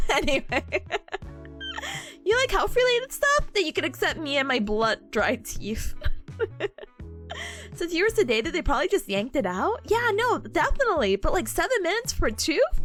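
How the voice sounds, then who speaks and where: A woman's voice: extremely high pitch, wildly swooping pitch, measured pace, fairly bright tone, faint music behind. A young woman streamer chatting casually with her viewers, bubbly, giggly and playful, reading comments as she goes. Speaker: study streamer.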